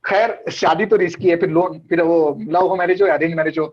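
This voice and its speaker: भारतीय लहजे वाला एक पुरुष ट्रेडिंग मेंटर, जो ऑनलाइन कॉल पर हिंदी और अंग्रेज़ी मिलाकर, बातें दोहराते हुए बातचीत के अंदाज़ में क्लास लेता है।. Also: male voice, fairly high pitch, fairly even intonation, brisk. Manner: chatty, mixes Hindi and English, repeats points. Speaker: trading mentor. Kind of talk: casual online trading class. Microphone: video call. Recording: dull lo-fi call audio.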